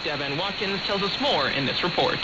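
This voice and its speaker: A woman's voice: on the low side, expressive, a little fast. A woman presenting radio news, reading scripted introductions to reports. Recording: muffled shortwave radio with clear static.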